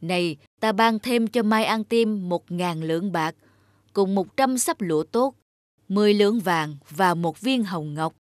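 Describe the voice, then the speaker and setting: A woman's voice: medium pitch, a little slow, dry recording, studio quality, natural voice. Woman voicing an imperious old cartoon king. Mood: solemn, approving. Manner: imperious, commanding. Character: proud, stern old king, quick to anger. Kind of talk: cartoon fairy tale character voice.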